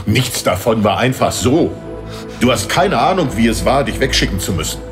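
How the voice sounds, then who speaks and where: A man's voice: medium pitch, sing-song, normal speed, over music, close to an everyday voice. An actor playing a solemn father who returns after many years, in an audio drama. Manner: solemn and earnest. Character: grave long-lost father, time-war soldier.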